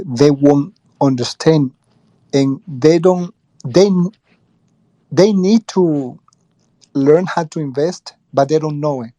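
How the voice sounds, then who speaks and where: A man explaining his view earnestly and haltingly in a casual group discussion. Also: a little high, dark tone, dry recording. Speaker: discussion participant, former teacher. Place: live online audio room, dry sound.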